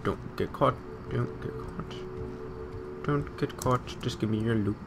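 A man's voice: mid-range voice, measured pace, creaky, background noise. A man giving casual gameplay commentary, muttering to himself, repeating phrases and swearing. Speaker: gamer recording a let's play.

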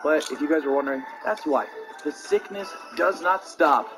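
A man with a raspy voice, still recovering from losing it, hosting a meme video with an upbeat intro. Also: on the high side, normal speed, music in the background. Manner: upbeat, hyped greetings. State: recovering from lost voice.

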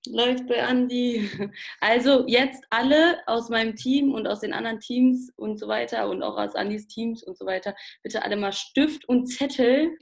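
A woman's voice: fairly high pitch, gravelly. A woman in her twenties hosting a video call, introducing a guest in a bubbly, informal way. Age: 20s. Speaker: host of the team call. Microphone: laptop or phone mic, video call.

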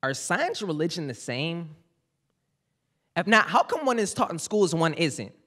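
A man's voice: a little high, big pitch swings, moderate pace, fairly bright tone, pitched above the performer's own voice. Young man speaking as a questioning child. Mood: urgent. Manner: rising, searching questions. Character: anxious child questioning its mother. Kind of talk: spoken word poetry performance. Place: stage of a lecture hall with an audience. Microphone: mic on a stand.

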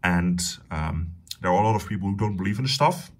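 A man with a Dutch accent and a fairly low voice walks through his living room with a phone camera. He talks eagerly, stumbling through restarts and fillers. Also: animated, brisk, slightly rough. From Netherlands. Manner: enthusiastic, with many fillers and restarts. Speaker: hifi enthusiast showing his system. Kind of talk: casual home tour vlog.

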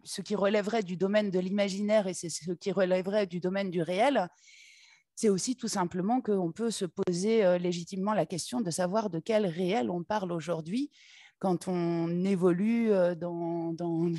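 A woman from Paris giving a conference talk at a table microphone, pausing often with fillers and restarting her phrases. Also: on the low side, fairly even intonation, dark tone, dry recording, studio quality. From Paris, France. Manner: hesitant, many filler "euh" and restarts. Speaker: essayist and conference speaker. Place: conference room, seated at a table. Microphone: table microphone on a stand.

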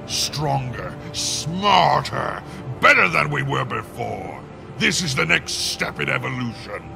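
An actor playing a menacing, arrogant monstrous villain in a video game, gravelly and condescending. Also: male voice, medium pitch, animated, takes it slowly, over music, full character voice. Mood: grandiose, scornful.

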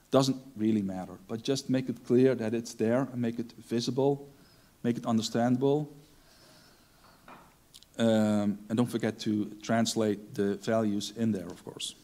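A middle-aged man whose first language is Dutch gives a technical talk on a large conference stage, pausing often with ums and restarting sentences. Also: medium pitch, darkish tone. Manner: hesitant, many ums and restarts. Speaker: conference speaker.

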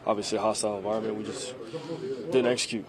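A young man answering reporters' questions after a game, halting and repeating himself with many fillers, close to handheld mics in a locker room. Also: lowish voice, steady tone, crowd noise. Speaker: quarterback at a postgame press conference. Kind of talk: postgame press answers. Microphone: handheld reporter mics at close range.

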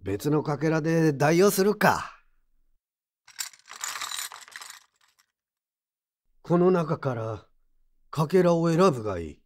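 An actor playing a gruff but kindly old craftsman over sixty in an audio drama, with old-fashioned, admonishing yet reassuring speech. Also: on the high side, sing-song, moderate pace, a little mellow. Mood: gruff, prodding. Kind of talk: Japanese audio drama acting.

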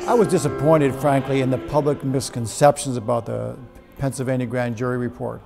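A man's voice: medium pitch, steady tone, fairly quick, vocal fry, music in the background. A middle-aged man, a priest, speaking emphatically and insistently in an interview indoors. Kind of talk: documentary interview. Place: dim indoor room.

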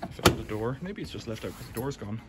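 A man's voice: on the low side, animated, fast talker. A man from the UK walking back alleys outdoors, filming on a handheld phone and chatting in a rambling, matter-of-fact way. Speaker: vlogger. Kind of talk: casual walk-and-talk commentary. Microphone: handheld phone camera. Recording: loud outdoor noise behind voice.

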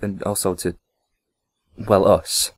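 A man's voice: sits low, little pitch movement, slow pace. A man playing a wary, awkward, antisocial young man in an audio drama, hesitant when flustered.

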